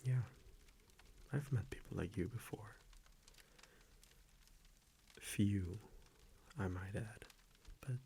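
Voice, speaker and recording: Man playing a hushed, deep-voiced vampire boyfriend. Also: takes it slowly, long pauses, slight background noise. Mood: tender, reassuring. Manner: hushed, hesitant, often slipping into whisper. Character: gentle vampire boyfriend, soft-spoken, confiding and protective. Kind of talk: intimate ASMR audio roleplay.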